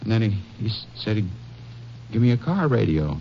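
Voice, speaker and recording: Stammering anxious soldier; animated pitch, dull old radio sound. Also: male voice, fairly quick, gravelly. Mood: nervous. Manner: stammering, nervous, defensive. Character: nervous young male soldier, evasive and anxious. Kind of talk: 1950s radio drama acting. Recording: muffled old radio broadcast with faint hiss.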